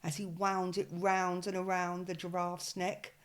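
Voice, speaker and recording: An older English woman with an expressive manner, reading a children's picture book aloud. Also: on the low side. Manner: slow, expressive storytelling. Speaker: storyteller.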